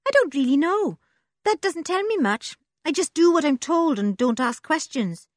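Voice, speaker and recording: A scruffy, tough young girl speaks bluntly and warily, her voice a touch higher and bouncing in pitch, as a woman reads her. The sound is close and dry. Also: animated, normal speed, no echo.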